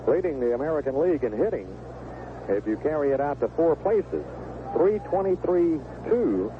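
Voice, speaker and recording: A man calling live baseball play-by-play on the radio as the game's announcer. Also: on the high side. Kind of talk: live sports commentary. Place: stadium broadcast booth. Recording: narrow, noisy 1970s AM radio broadcast.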